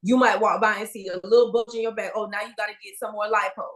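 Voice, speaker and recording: A woman's voice: mid-range voice, fairly even intonation, quick pace, a bit bright. A woman in her forties co-hosting a podcast, talking candidly and casually with many fillers and restarts. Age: 40s. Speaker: podcast co-host, registered nurse. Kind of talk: casual chat.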